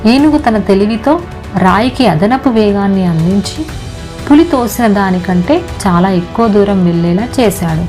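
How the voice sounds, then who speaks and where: Female narrator, scripted children's storytelling, mid-pitched voice, light background music. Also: animated, moderate pace, a little mellow.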